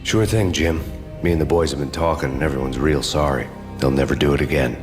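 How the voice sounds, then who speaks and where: An actor playing a world-weary undercover cop who narrates a noir story in a dry, sardonic way. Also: male voice, rumbling low, brisk. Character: grim hard-boiled male undercover cop narrating. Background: music.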